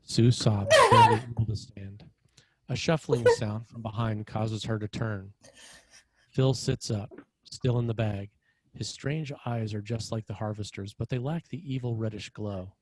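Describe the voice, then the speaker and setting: A man's voice: on the low side, very animated, a little slow. A man reading screenplay action lines as narrator at a table read. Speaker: screenwriter narrating stage directions.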